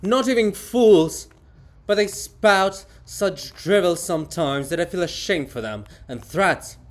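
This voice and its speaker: A man as pompous, scolding statue, slow-paced. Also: sits high, lively intonation. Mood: loud, indignant. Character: indignant, haughty male monument of a writer. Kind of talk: theatrical dramatic reading.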